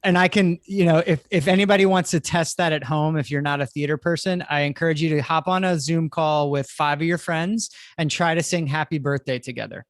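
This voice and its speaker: A man with a notably high voice and a dry, close sound hosts a casual video-call panel. He talks in a chatty, encouraging way. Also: fairly even intonation, fairly quick, hardly any pauses, no room sound. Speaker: host of a theater livestream panel.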